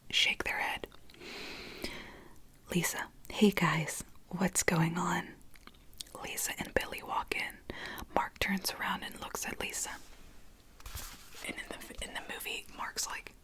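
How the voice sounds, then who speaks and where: A woman reads a screenplay aloud entirely in a breathy, unvoiced whisper, low in pitch. She keeps dropping out of the script for casual, chatty comments. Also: steady tone, normal speed, pushed and bright. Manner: chatty asides between scene lines. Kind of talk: whispered ASMR screenplay reading.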